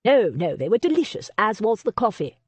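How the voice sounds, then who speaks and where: A woman voicing a wary, gloomy young gentleman in a lower, guarded tone. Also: sing-song, fairly quick, husky, dry recording.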